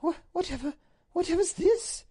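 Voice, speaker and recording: A woman voicing an anguished, highly strung duchess in a storybook reading, pleading and breathless in a melodramatic way. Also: normal speed, dark tone. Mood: shocked.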